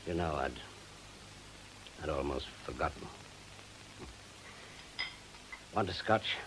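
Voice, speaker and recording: An actor playing a bitter, fearful older widower in a British radio drama, sardonic and emphatic, halting when troubled. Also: male voice, a bass voice, animated, noisy background. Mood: brooding, bitter.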